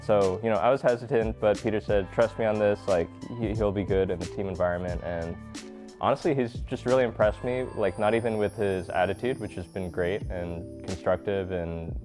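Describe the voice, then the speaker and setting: A man's voice: fairly low pitch, a little fast, darkish tone. A man who plays esports professionally, talking casually and thoughtfully in an interview. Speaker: pro esports player. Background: background music.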